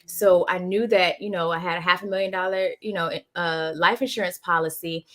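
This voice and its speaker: A woman's voice: fairly low pitch, fairly even intonation, fairly quick, a bit bright. A woman hosting a podcast, telling a personal story candidly in casual chat. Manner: candid, emotional.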